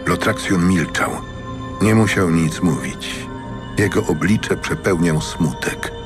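A man's voice: sits low, slow pace. A man narrating a fantasy audiobook in a scripted, dramatic storytelling style. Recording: narration over music.

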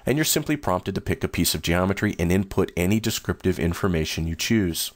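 A man walking through new software features in a steady, explanatory screen-recorded demo. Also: low-pitched, fairly quick, no room sound. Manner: steady, explanatory, first-person demo narration. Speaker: software demonstrator.

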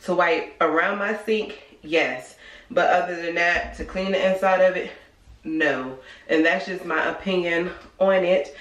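Low-voiced American woman vlogger, echoey room. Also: measured pace, a bit bright, dull audio. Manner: informal, conversational, speaking to viewers. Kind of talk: casual chat, product review. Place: small room at home, indoors. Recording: roomy, echoey indoor sound.

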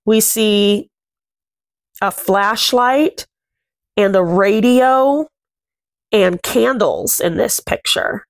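A woman in her thirties reading a picture book aloud to children, commenting and putting questions to young listeners in simple, deliberate phrases. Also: mid-range voice. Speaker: children's read-aloud host. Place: small furnished room at home.

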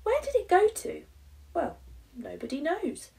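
English woman teacher reading rhymes to kids, slow n expressive, a bit far from the mic. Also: very high voice, creaky. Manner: dramatic emphasis on rhyming verse. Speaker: primary school teacher. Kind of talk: expressive children's storybook reading.

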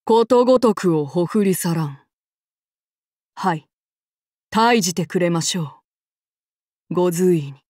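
A voice actress playing a courteous, archaic-spoken samurai woman with a demon side, her soft formal speech giving way to shrill battle cries. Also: animated, measured pace, pauses often, no room sound, studio quality. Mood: fierce, battle cries. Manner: courteous and archaic with a menacing undertone. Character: polite, bloodthirsty demon-blooded samurai woman. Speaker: voice actress for a game character. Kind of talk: game character voice lines.

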